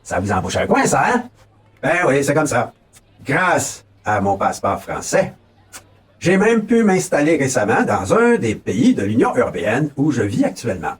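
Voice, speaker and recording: A man's voice: medium pitch, huge pitch swings, moderate pace, far from the mic. A man from Quebec with a Québécois accent, giving a folksy, mock-solemn comic campaign speech as a pretend candidate. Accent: Québécois French. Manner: folksy, mock-solemn, keeps tossing in a rising "ok, là?". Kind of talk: comic political address.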